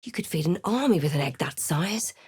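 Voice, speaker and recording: Theatrical, low-voiced fantasy heroine. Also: female voice, animated, brisk, a little mellow, slightly rough, no echo, clean studio sound. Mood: wry, snarky. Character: snarky, brave female adventurer spellcaster in a fantasy game. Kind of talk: video game voice lines, dramatic narration and spell chants.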